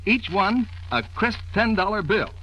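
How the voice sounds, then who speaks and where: A man's voice: high voice, animated, unhurried, pushed and bright. An American man announcing an advertisement in 1940s radio style. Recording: dull, faintly noisy old radio recording.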